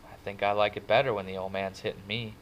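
A man as a soft-spoken, downcast teenage boy. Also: lowish voice, flat delivery, fast, dull audio, slight background noise, close to an everyday voice. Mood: sad, resigned. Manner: soft, sighing, folksy. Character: gentle, downcast teenage boy, the narrator's loyal friend. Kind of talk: dramatic audiobook character reading.

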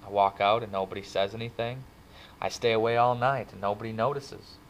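A man reading a storybook, voicing a gentle, downcast teenage boy who talks softly in folksy phrases. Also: fairly low pitch, steady tone, a little fast, a little hiss or hum, natural voice, poor audio. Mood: sad, resigned. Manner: soft, sighing, folksy. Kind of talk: dramatic audiobook character reading.

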